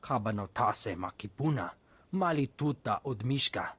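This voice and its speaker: A husky alien trader in a video game. He speaks a made-up alien language at a middling pitch, with a rough edge to the voice. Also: expressive, moderate pace, a bit bright. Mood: businesslike.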